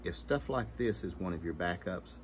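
Low-voiced middle-aged man, noisy recording. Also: expressive, normal speed. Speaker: video host. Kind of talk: casual advice to camera. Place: indoors, unfinished workshop or basement. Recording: noisy room sound.

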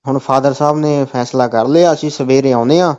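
A man's voice: mid-range voice, a little fast, darkish tone. A man with a Punjabi accent making a comic phone call, talking insistently and falling back on the same stock phrases. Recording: dull, lo-fi phone call recording.

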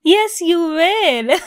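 A woman's voice: extremely high pitch, no echo, clean studio sound, exaggerated voice. A woman acting a giggly, teasing young woman fond of a longtime friend, in a romantic ASMR roleplay. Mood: teasing, playful.

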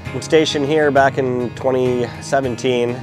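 A man in his forties from Lower Michigan, talking in a relaxed, plain way while guiding a tour on a small boat outdoors. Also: measured pace, low-quality recording. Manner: relaxed, plain-spoken, with fillers. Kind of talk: casual interview, guiding a tour. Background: music.

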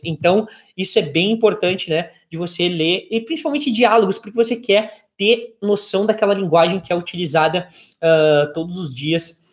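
A man in his forties with a Brazilian accent, teaching informally as a language teacher, explaining step by step in a conversational way. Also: high-pitched. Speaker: English teacher. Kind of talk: informal video lesson.

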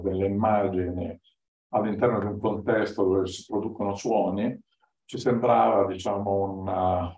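A man in his fifties gives an informal slide lecture as a guest speaker, in halting, hesitant phrases, standing in a classroom away from the microphone. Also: fairly low pitch, a little mellow. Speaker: guest lecturer, artist-researcher. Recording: echoey room, distant from mic.

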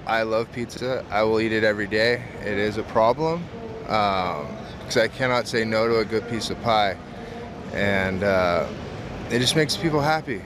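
A man in his thirties, a pizza business owner, answering interview questions casually with many "um" fillers, recorded close up at a trade show. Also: fairly low pitch. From San Diego, California. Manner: relaxed, frequent "um" fillers, earnest. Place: large indoor trade show hall. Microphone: camera close to face. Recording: noisy expo hall background.

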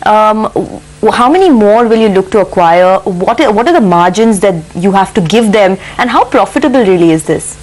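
Woman anchor, brisk friendly questioning, mid pitch. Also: expressive, a little mellow, poor audio. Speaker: business news anchor. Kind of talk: TV business news interview.

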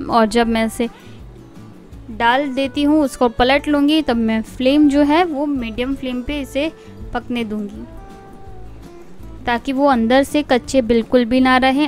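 A woman with a high, light voice talks viewers through a recipe step by step in a calm, explanatory tone. Her voice-over sits over soft background music. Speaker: cooking channel host.

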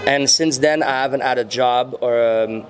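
A man in his thirties with a Quebec French accent, French his first language, answering interview questions in English, unhurried, pausing often with fillers into handheld mics. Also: medium pitch, steady tone, soft background music. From French-speaking Canada. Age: 30s. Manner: unhurried, searching for words, frequent fillers. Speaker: interviewee, muralist and rapper. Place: indoor venue before a painted backdrop. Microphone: handheld mics held near the chin.

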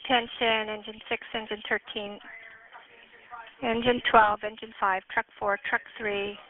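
A woman's voice: mid-range voice, level tone, a little slow, phone-quality sound, noisy background. A woman working as a fire dispatcher, speaking over the radio in a clipped, procedural way and repeating details.

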